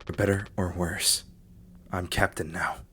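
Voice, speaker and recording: A man voicing the pragmatic, commanding acting captain of a stranded spaceship in a video game fan dub, serious and measured. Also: sits low, steady tone, a bit bright, a little hiss or hum. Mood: firm.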